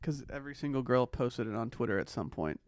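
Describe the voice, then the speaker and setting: A man's voice: medium pitch, animated, fast, darkish tone, dry recording. A young man co-hosting a podcast, giving casual, opinionated banter and swearing freely. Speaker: podcast co-host. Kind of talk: casual banter, reality TV recap.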